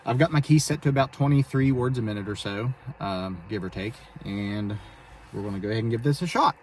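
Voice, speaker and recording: A man casually explaining and demonstrating amateur radio to a phone camera, speaking in a hesitant, halting way. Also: fairly low pitch. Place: inside a car for the later part. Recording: clearly noisy phone video recording.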